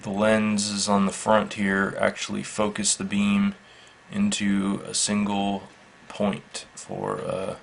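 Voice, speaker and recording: A man giving a casual, unscripted product demonstration in a matter-of-fact, halting, measured way. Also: fairly low pitch, completely flat delivery, gravelly. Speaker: product reviewer. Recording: faint background noise.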